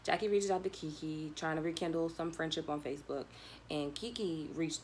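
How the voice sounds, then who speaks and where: A young adult woman telling a personal story in a casual, slangy, animated way, acting out bits of dialogue as a vlogger would. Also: sits low, brisk, a little hiss or hum.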